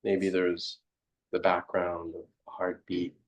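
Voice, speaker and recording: Low, even-toned male meditation guide. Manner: unhurried, reflective, questioning, spoken in short phrases. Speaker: meditation teacher.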